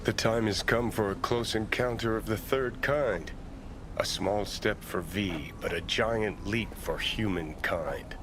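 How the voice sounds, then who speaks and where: An actor playing a cynical, mocking sidekick in a video game, sardonic and theatrical with a dry wit. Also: male voice, lowish voice, slow, noisy background. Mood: mock grandeur.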